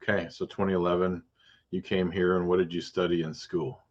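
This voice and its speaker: A man's voice: low voice, flat delivery, a little mellow, highs cut off. A man hosting a podcast, asking casual, rambling questions and often stopping to rephrase them mid-sentence. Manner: chatty, restarts his questions, quick acknowledgments. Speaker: podcast host and realtor. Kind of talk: casual interview.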